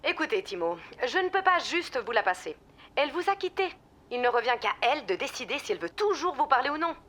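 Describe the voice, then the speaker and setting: A woman's voice: high voice, big pitch swings, quick pace, fairly bright tone, exaggerated voice. A woman playing a flustered, evasive female official on a call in an audio drama.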